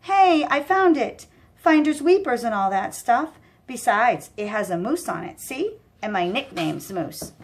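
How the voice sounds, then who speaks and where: A big, slow-witted goon, acted out by a woman reading a children's book. He whines anxiously and rambles on in his own defense, like a sulky henchman in over his head. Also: on the high side, expressive. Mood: excited, childish. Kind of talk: children's audiobook character voice.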